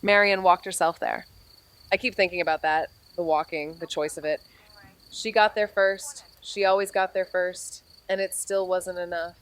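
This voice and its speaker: An actress playing a weary, wry amateur investigator in a noir audio drama, with measured, deadpan delivery. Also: female voice, fairly low pitch, clean tone, natural voice, low-quality recording. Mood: somber, reflective. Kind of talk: scripted noir audio drama, narration and dialogue.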